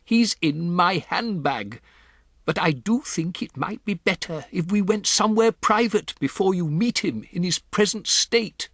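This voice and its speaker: A man voicing an old grandmother who speaks in a measured, earnest, patient way. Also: sits high, expressive, a bit bright, no room sound, clean studio sound, voice pushed up, exaggerated voice. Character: calm, firm old grandmother.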